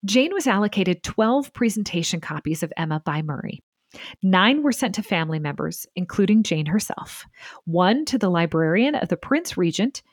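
A woman's voice: mid-range voice, no echo. Woman narrating a scripted history documentary, dry studio sound. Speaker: podcast host and narrator.

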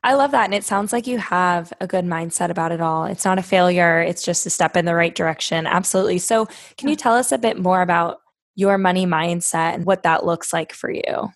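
Lower-voiced woman host, upbeat and affirming, dry close sound. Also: fairly quick, dry recording. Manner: affirming, encouraging. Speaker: podcast host. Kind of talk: podcast interview questions.

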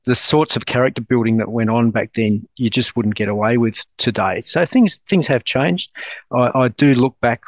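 A man's voice: mid-range voice, normal speed, no room sound. A man in an interview looks back on his past in a reflective voice, often restarting his words. The recording is close and dry with no room sound. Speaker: program leader, ex-military.

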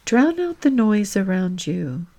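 A woman leading a guided reflection in the manner of a podcast host, gentle and deliberate, with long reflective pauses. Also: mid-range voice, sing-song.

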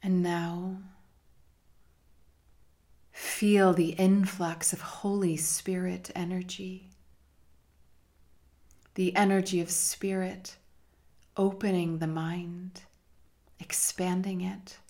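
A woman leading a guided meditation in a measured, hushed manner with long pauses between phrases. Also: on the low side, flat delivery. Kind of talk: slow guided meditation narration.

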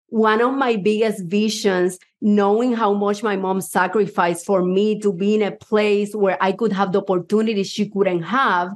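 Upbeat woman with a Puerto Rican accent. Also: medium pitch. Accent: Puerto Rican Spanish. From Cuba. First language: Spanish. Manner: enthusiastic, personal storytelling. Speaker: mindset coach and speaker. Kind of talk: motivational talk to a live audience.

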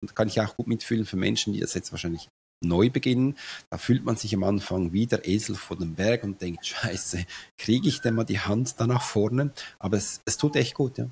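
A man hosting a podcast, hoarse from a cold, chatting in a self-deprecating way with personal stories. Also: on the low side, fairly quick, a little mellow. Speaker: podcast host. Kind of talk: podcast conversation.

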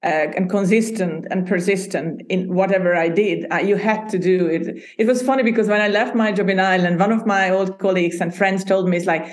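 A woman's voice: mid-range voice, steady tone, brisk, few pauses. A woman from Hungary who speaks Hungarian as her first language, chatting as a podcast guest and often laughing as she talks. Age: adult. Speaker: podcast guest, agency founder. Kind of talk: casual interview chat.

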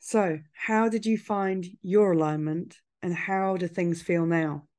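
A woman's voice: lowish voice, fairly even intonation, slow, darkish tone. A woman hosting an interview, asking questions with playful, encouraging asides. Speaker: podcast host.

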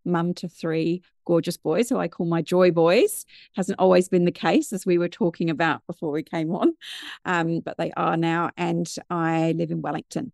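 A British woman in her fifties from the UK, a guest telling her story in a chatty, animated, digressive way. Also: on the low side, normal speed, gravelly, no echo, clean studio sound. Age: 50s. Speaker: guest, mindfulness business founder. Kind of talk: conversational interview storytelling.

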